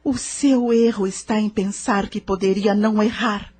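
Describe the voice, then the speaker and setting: A Brazilian woman acting a patient, moralizing spirit mentor in an audio drama, measured, probing and didactic. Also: medium pitch, steady tone, darkish tone, breathy edge, lightly acted. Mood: probing, firm.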